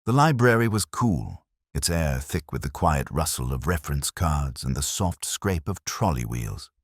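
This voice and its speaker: A man reads a detective story as scripted narration in an extremely deep voice. It has a husky grain and moves around in lively fashion, recorded close and dry. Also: normal speed, dry recording.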